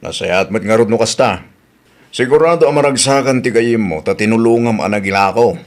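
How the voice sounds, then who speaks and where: A man playing an inquisitive, low-key old husband in an Ilocano radio drama. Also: fairly quick, lightly acted.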